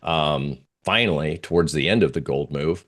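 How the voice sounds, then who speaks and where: A man giving informal live market commentary as a guest analyst, halting and searching for his words. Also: very deep voice, fairly bright tone.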